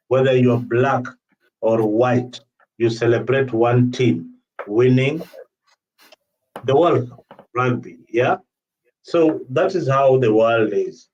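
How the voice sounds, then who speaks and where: A man's voice: medium pitch, darkish tone. Man, distant and dull-sounding livestream host. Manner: emphatic, repeats phrases. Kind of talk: political discussion.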